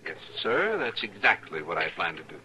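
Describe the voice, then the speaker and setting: Prim, bright-voiced stubborn scholar. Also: male voice, deep voice, sing-song, moderate pace, lo-fi sound, exaggerated voice. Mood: stubborn, assured. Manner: polite, formal, precise, self-assured. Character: prim, stubborn, naive little scholar out west. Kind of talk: old-time radio western acting. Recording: old-time radio broadcast recording.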